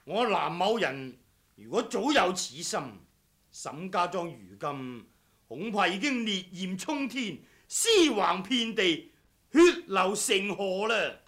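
A man's voice: high voice, sing-song, slow, sharp tone, full character voice. A man acting a vengeful, sardonic swordsman in a Cantonese radio drama, with a mock-courteous, taunting, theatrical manner. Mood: scornful. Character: vengeful, sardonic swordsman come to settle a blood debt.

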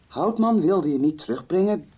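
An actress playing a hesitant young woman in a 1990s radio drama, halting and repeating words. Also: on the low side, expressive, warm tone, slightly rough, muffled recording.

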